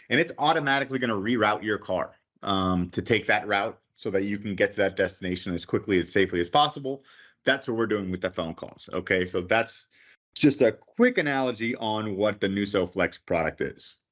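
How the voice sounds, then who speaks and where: Male presenter, lowish voice, casual webinar demo delivery, filler-heavy, dull lo-fi audio. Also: a bit bright. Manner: casual, frequent "okay" and "um" fillers. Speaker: product presenter. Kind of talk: webinar product walkthrough.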